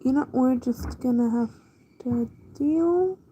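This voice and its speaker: A woman with a slightly high, mellow voice talks casually to a small stream. She takes her time, letting thoughts trail off in a laid-back way. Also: slow, muffled recording, slight background noise. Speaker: livestreamer. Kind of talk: casual livestream chat while drawing.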